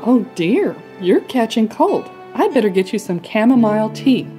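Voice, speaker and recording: Woman as a fussy, animated mother rabbit. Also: fairly high pitch, normal speed, soft background music. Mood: concerned. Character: stern but caring mother rabbit. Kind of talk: children's puppet show acting.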